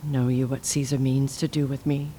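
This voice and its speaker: A woman's voice: a bass voice, little pitch movement, moderate pace, dark tone, a little hiss or hum. A woman playing a grieving, regal Egyptian queen resolved on death, in stately, impassioned classical Shakespearean verse. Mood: grave. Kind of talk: classical Shakespearean stage acting.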